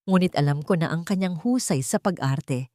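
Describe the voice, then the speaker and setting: A woman narrates a scripted drama. Her voice sits on the low side for a woman, and the sound is dry, with very little room around it. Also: normal speed, mellow, no room sound. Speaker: audio story narrator.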